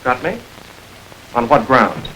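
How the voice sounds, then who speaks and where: An actor playing a smooth, mocking gang boss who stays unruffled under questioning, in a 1940s film. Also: male voice, mid-range voice, very animated, slow pace, pushed and bright, a little hiss or hum, full character voice, low-quality recording. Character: suave smug male gang boss, adult.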